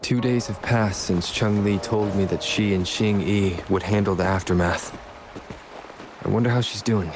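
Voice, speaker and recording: An actor playing a calm, kind young wanderer in a video game, measured and gentle. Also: male voice, on the low side, music in the background, natural voice. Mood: thoughtful.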